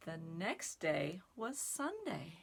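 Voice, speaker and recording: A woman reading aloud to young children in simple, expressive, word-by-word phrases. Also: fairly low pitch, slow pace, gravelly, slight background noise. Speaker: storytime reader and sign language teacher. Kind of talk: children's read-aloud and sign lesson.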